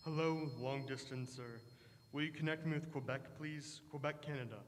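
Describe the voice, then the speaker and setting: A man acts out a stern father from a 1940s radio drama. His voice sits a little high for a man, and he is formal, pressing and suspicious. The room around him rings with reverb. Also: steady tone, normal speed, a little hiss or hum, close to an everyday voice. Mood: businesslike.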